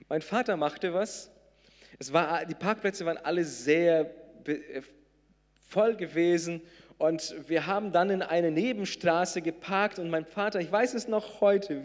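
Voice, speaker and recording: A slightly high-voiced man preaches in German to his congregation. Portuguese is his first language. He talks in a loose, chatty way, full of fillers and restarts, and swells into emphatic bursts. The voice rings with the long reverb of a church hall. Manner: conversational, many fillers and restarts, rising to emphatic. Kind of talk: sermon with a personal story.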